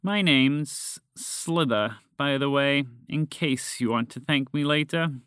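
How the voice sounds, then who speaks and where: A man voicing a helpful, wry female garter snake, speaking in a drawn-out, sardonic, teasing way. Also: medium pitch, fairly bright tone, clean tone, no room sound, studio quality.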